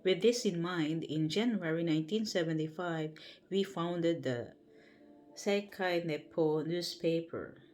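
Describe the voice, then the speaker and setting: A woman with a Filipino accent reads a book aloud on a bedtime livestream from home. She goes slowly and carefully, trips on longer words and corrects herself. Soft music plays faintly behind her. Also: on the low side. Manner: careful, halting reading with self-corrections. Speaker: livestream host. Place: at home before bed. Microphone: livestream setup.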